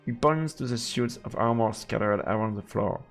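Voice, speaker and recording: Creaky-voiced man streaming a game. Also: lowish voice, normal speed, soft background music. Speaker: livestreamer playing and reading a visual novel. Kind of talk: casual let's play chat mixed with read-aloud narration.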